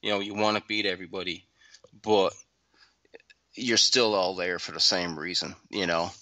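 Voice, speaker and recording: Irish guy on a podcast, low voice but real bright and punchy, dry close sound, easygoing. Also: moderate pace, no room sound. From Ireland. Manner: relaxed, chatty, loose swearing. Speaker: podcast guest. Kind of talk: casual podcast chat.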